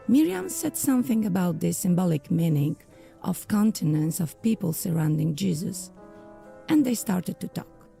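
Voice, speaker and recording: A woman narrating a scripted period audio drama in a literary, measured storytelling manner. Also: on the low side, creaky, mellow.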